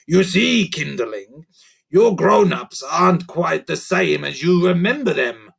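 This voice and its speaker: Guy doing a gruff cheeky creaker monster, gravelly, mangles his words. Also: on the high side, lively intonation, measured pace, a crowd in the background, voice pushed up, full character voice. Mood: mischievous. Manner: growly creature voice with odd grammar. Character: sly male creaker creature, gruff and cheeky.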